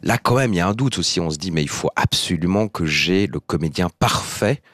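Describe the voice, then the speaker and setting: A man's voice: sits low, lively intonation, a little fast, a bit bright, no echo. A man hosting an interview in a studio, asking long, winding questions. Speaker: interview host.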